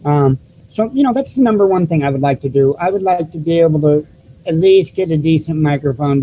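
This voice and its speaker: A man with a squeaky voice hosting his own YouTube news channel, talking casually to viewers through a cheap desktop microphone with many restarts and fillers. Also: mellow, slight background noise, poor audio. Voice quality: squeaky voice, self-described. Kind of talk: casual vlog talk to viewers.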